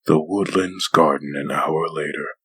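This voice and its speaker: A man playing a solemn narrator of a comic dub, setting scenes in a measured, scripted way. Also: extremely low pitch, fairly even intonation, pushed and bright, slightly rough, no echo, heavily acted.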